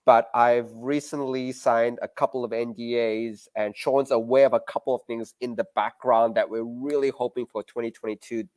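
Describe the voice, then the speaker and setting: A man's voice: medium pitch, fairly even intonation, normal speed, few pauses, a little mellow, dry recording. An Australian man co-hosting a casual live-stream chat, earnest and rambling. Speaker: podcast co-host.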